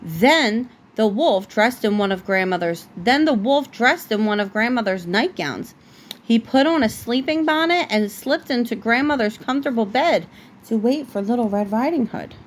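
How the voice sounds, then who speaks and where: A woman reading a children's bedtime story aloud like a parent, with expressive storytelling. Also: on the high side, moderate pace, a little hiss or hum.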